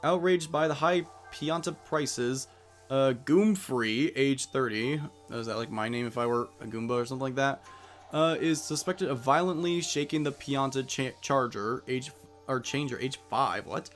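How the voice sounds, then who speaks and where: A man's voice: medium pitch, normal speed. A young man giving casual, wry commentary while playing a video game and reading the on-screen text aloud. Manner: chatty, wry asides, reads game text aloud with put-on voices. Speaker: let's play host. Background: faint game music.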